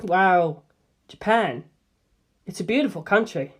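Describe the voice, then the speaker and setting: A woman's voice: on the low side, lively intonation, slow pace, husky, heavily acted. A woman reads as a young male hero in a story, plain-spoken and bewildered. The delivery is casual and reactive, in a natural voice. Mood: puzzled.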